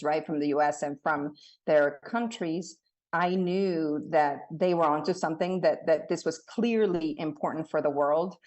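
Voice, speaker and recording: A woman's voice: low voice, a little mellow. A woman in her thirties who grew up in Latin America, telling her story as an interview guest in an earnest, conversational way with frequent restarts.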